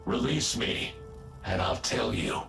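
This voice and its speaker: A man's voice: medium pitch, lively intonation, slow pace, gravelly, fairly bright tone, roomy sound, faint music behind, exaggerated voice. An actor playing a cunning, haughty male villain, gloating and threatening in a dramatic video game style. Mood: bargaining.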